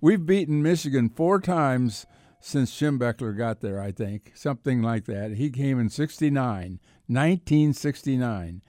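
A man's voice: medium pitch, a little slow, a little mellow, dry recording, studio quality. An older man with an American accent talking sports casually, in a rambling, reflective way. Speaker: sports columnist and radio co-host.

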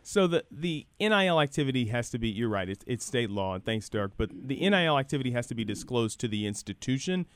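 A man answers questions on a live radio show in a dry studio. He talks quickly and conversationally, explaining as he goes. He often checks in with "right?" and acts out imagined quotes to illustrate a point. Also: mid-range voice, sing-song, dry recording. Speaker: radio show guest, university program director.

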